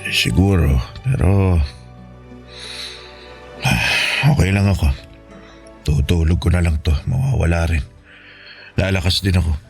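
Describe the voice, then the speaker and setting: An ailing father, poor, proud and resigned, in a Filipino radio drama. His voice is low and weary, patient and reassuring, with faint music behind. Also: a little slow, creaky, pauses often, a little mellow. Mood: weak, reassuring.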